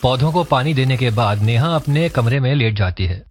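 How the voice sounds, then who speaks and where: A man narrating a cartoon story in a steady, scripted storytelling manner. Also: mid-range voice, normal speed, slight background noise. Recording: dull lo-fi voice-over.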